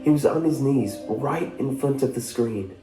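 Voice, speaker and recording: A man narrating a scripted first-person story in a measured, wistful manner. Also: mid-range voice, mellow. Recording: roomy echo, music behind. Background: background music.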